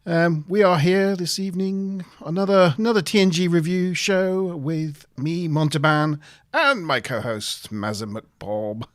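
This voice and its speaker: A man with a deep, boomy voice, hosting a casual review livestream and joking with his co-host. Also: unhurried, no room sound, clean studio sound. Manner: jokey, laughs often, playful announcer voice for the intro. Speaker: podcast host. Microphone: good desk mic.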